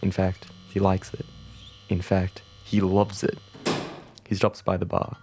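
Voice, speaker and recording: A man narrating a comic pitch in a deadpan, wordy, theatrical storytelling way. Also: unhurried, noisy background.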